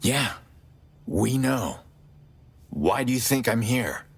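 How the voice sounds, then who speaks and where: Game role: hard-bitten vigilante, very gravelly and husky, terse, grim. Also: male voice, medium pitch, lively intonation, takes it slowly, exaggerated voice. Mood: curt, defiant. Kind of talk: video game cutscene acting.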